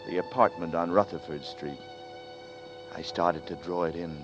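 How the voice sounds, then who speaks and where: A man's voice: deep voice, steady tone, normal speed, lightly acted. An actor playing a troubled man who narrates his own story in a tense, brooding way, in a 1940s radio drama. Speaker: narrator and lead. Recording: lo-fi 1940s radio broadcast with music.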